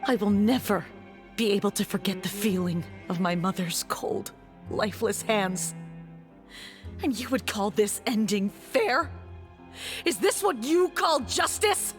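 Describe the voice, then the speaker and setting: A woman's voice: mid-range voice, animated, measured pace, faint music behind, close to an everyday voice. A woman voicing a bitter, grieving young avenger in a video game, intense and accusing. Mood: accusing, anguished. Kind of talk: dramatic video game voice acting.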